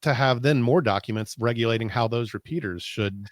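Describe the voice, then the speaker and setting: A man with an average-pitched voice chats informally on a tech panel show he co-hosts. His sound is dry and free of room echo. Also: brisk. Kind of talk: casual chat.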